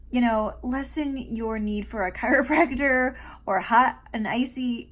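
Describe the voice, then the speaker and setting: A young woman hosting her own channel, talking casually to camera in a stuffy voice from allergies, with frequent ums and asides. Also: fairly high pitch. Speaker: author and channel host.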